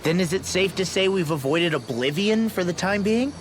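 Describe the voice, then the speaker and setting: A man's voice: high-pitched, expressive, moderate pace, slight background noise. A man playing a young, brash and skeptical fighter in a fantasy video game cutscene. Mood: questioning. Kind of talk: English dubbed video game cutscene acting.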